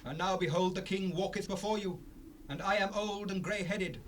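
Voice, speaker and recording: High-voiced old prophet played by a man, distant. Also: normal speed, natural voice. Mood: solemn. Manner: solemn, declamatory, formal. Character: old grey-headed prophet, stern, righteous leader addressing his people. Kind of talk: dramatized scripture oratory. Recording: noisy cassette rip, distant voice.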